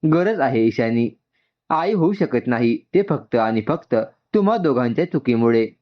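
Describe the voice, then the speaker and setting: A man's voice: mid-range voice, animated, moderate pace, close to an everyday voice. A man voicing a firm, demanding middle-aged mother in a told story, speaking insistently. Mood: firm insistence. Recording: dull, muffled lo-fi sound.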